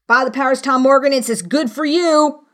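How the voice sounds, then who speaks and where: A woman's voice: medium pitch, normal speed, fairly bright tone, lightly acted. Woman acting a blustering one-legged old seafaring landlord. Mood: stern, scolding. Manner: blustering, salty sailor's talk.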